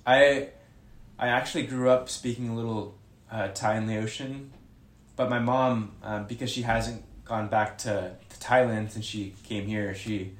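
A young man who is a guest on a podcast, chatting casually in a rambling way and correcting himself. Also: mid-range voice. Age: young adult. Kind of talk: casual interview chat. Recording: echoey room.

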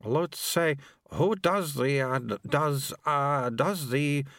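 A man's voice: on the high side, takes it slowly, a bit bright, no echo, studio quality, voice pushed up. A man voicing a flustered senior male monk who stammers and dithers. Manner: stammering, dithering, full of uhs.

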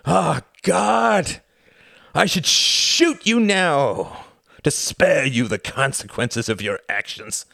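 A man voicing an older, cruel and mocking male Nazi count who shouts and cackles. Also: on the high side, takes it slowly, creaky, no echo, studio quality, voice pushed up, full character voice. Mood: sneering.

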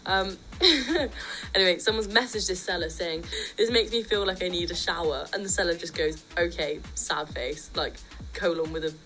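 Young woman, student radio host, slightly croaky, chatty and opinionated, soft music under her. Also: on the low side, moderate pace, poor audio. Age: young adult. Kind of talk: casual radio chat.

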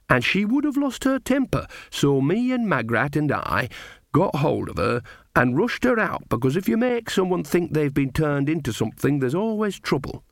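A man's voice: on the high side, a bit bright, no echo, clean studio sound, exaggerated voice. A man voicing a cheerful, earthy old woman witch in a storybook, cheeky and folksy in manner. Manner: folksy, mischievous.

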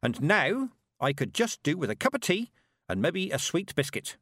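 Guy doing a frosty bossy old witch lady, slow and cutting, storybook reading. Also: on the high side, big pitch swings, fairly bright tone, no echo, studio quality, voice pushed up. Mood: brisk. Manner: cold, clipped, commanding. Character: stern proud old woman witch, icy.

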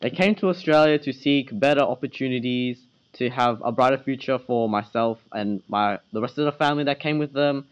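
A teenage student who hosts a school podcast, talking in a casual, earnest way. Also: male voice, brisk, hardly any pauses, clear, resonant tone. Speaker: student interviewer. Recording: dull low-fidelity school recording.

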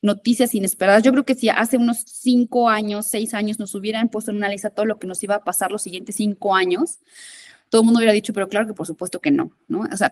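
A woman's voice: medium pitch, a little mellow, no echo. Woman musing with many fillers. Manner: thoughtful, many fillers and restarts, switches into English terms. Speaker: interview guest, innovation consultant. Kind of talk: conversational podcast interview.

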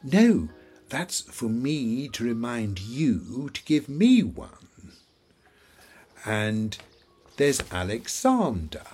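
A husky, creaky old man in an audio drama, over music. He is forgetful and tender, speaking slowly and haltingly as he searches for each thought. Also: mid-range voice, very animated, a little mellow, exaggerated voice. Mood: confused. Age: older. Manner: halting, searching, repeats himself. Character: elderly forgetful father, confused, wistful, tender. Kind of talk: audio play acting.

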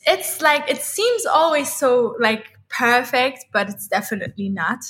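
Woman, Dutch native speaker, thirties, rambling casual chat, somewhat high pitch. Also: sing-song, unhurried, a bit bright. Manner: chatty, rambling with many "like" fillers. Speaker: guest.

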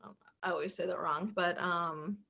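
A woman co-hosting a podcast, chatting casually and haltingly as she thinks aloud. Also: lowish voice, fairly even intonation, gravelly, bright, forceful tone. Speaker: podcast co-host. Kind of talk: casual chat about shows and comics.

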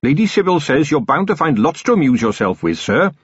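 A man's voice: a little high, big pitch swings, a little fast, slightly rough, no echo, lo-fi sound, pitched above the performer's own voice. A man voicing an earnest, courteous male police captain, respectful and upbeat, in an audiobook.

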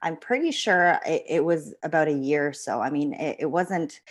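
A woman's voice: deep voice, moderate pace, no room sound, studio quality. A young woman from Canada and the Philippines, a law student guest, telling her story reflectively and laughing now and then. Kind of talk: podcast interview.

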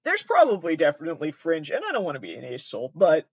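Man, host, comedic commentary, rambling, swearing, self-deprecating, fairly high voice. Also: wildly swooping pitch, quick pace, breathy edge, dry recording, lo-fi sound.

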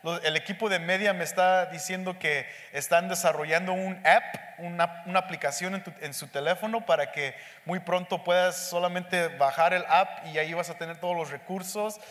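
Hombre de voz aguda, predicando en una sala con eco. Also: male voice, sits high, steady tone, fairly bright tone. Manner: speaks slowly and deliberately, mixing in English words. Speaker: pastor teaching a Bible study. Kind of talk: sermon teaching. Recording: echoey hall with long reverb.

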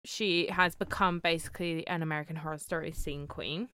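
A woman co-hosting a podcast in casual chat, animated and laughing constantly. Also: sits low, fairly quick, smooth, no echo. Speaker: podcast co-host.

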